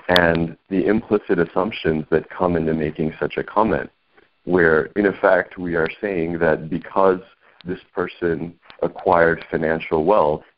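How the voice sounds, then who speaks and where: A man hosting an interview over a video call, speaking in a measured, reflective way. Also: deep voice, a little hiss or hum. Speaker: host. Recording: dull lo-fi call audio.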